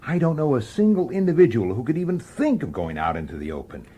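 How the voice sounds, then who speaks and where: A blunt, husky police detective. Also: male voice, medium pitch, big pitch swings, fast talker, mellow. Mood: incredulous. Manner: blunt, incredulous, argumentative. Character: sceptical, blunt, hot-headed human male police detective. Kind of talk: radio drama acting.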